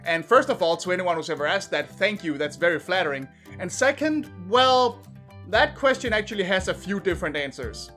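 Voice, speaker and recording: A man in his thirties from Denmark, speaking English, giving a sarcastic, animated scripted review. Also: high voice, brisk, faint music behind. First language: Danish. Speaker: video reviewer.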